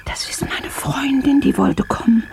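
An older actress playing a frightened old woman held hostage in a German radio play, pleading and halting. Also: sits high, vocal fry, darkish tone, in a whisper. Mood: pleading, fearful.

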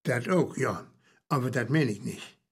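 An actor playing a wry, knowing storyteller in radio play banter, husky, with a Low German accent and a dry, explanatory manner. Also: male voice, a little slow. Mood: dry, grave.

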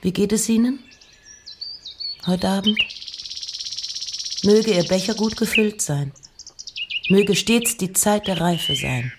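A woman playing a reflective, intense poet in a slow, intimate dramatic recitation of letters and verse. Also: mid-range voice, very noisy. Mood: intimate, musing. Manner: measured, intimate, often dropping to breathy whispers.

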